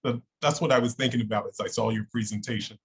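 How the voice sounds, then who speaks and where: A man's voice: medium pitch, fairly even intonation, fast, creaky, slightly rough. A man hosting a town hall, thanking one speaker and reading the next speaker's introduction courteously. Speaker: host and moderator.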